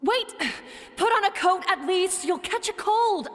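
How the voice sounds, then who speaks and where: A woman's voice: extremely high pitch, lively intonation, measured pace, a bit bright, exaggerated voice. A woman playing a protective, earnest village mother in a dubbed anime game, speaking emphatically. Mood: scolding. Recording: long reverb, music behind.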